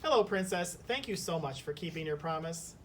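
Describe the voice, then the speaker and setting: A man's voice: animated, fairly quick, natural voice. Man reading as a polite young storybook prince, slightly distant. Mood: grateful, earnest. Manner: polite, earnest. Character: grateful young fairy-tale prince freed from a spell. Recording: slightly distant mic, faint rumbling noise.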